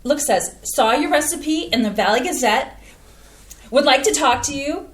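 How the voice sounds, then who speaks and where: A bubbly, folksy homemaker wife from Wisconsin, played by a woman in a stage reading, her bright high voice swooping through excited exclamations. She talks in a lively Upper Midwest lilt, and the sound rings around an echoey theater room. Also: moderate pace, slight background noise. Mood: thrilled. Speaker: actor in a community staged reading.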